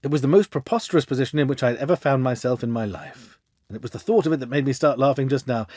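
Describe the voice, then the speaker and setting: A man giving an expressive, theatrical reading of a classic detective story as an audiobook. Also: mid-range voice, no echo, clean studio sound. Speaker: audiobook reader. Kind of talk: dramatic storybook narration of a classic detective tale.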